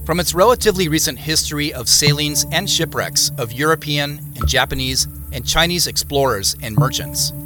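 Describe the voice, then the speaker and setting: An actor playing a storyteller, narrating an audio drama in a measured, scripted way. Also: male voice, on the high side, close to an everyday voice. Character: storyteller recounting clues and an eerie boyhood tale.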